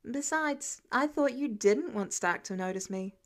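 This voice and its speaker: A woman's voice: a little high, expressive, unhurried. Woman as an easygoing, wry teenage boy. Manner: casual, wry, playful. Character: easygoing, teasing, self-deprecating nerdy teenage boy.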